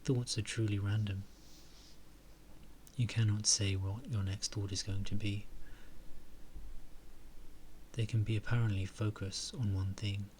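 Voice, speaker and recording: A man leading a guided meditation and reflection in a soft, calm way, with phrases trailing into breathy murmurs. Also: on the low side, measured pace, long pauses, a little hiss or hum.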